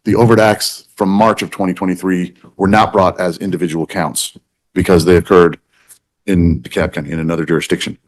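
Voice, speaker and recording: A man speaking as a judge in a courtroom discussion, thinking aloud in a halting, deliberative way with false starts. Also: low voice, level tone. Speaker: judge presiding over a court hearing.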